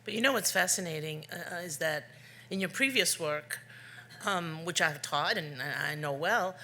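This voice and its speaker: A woman moderating a panel, wry and interjecting with quick remarks. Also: low voice, animated, pushed and bright, slight background noise.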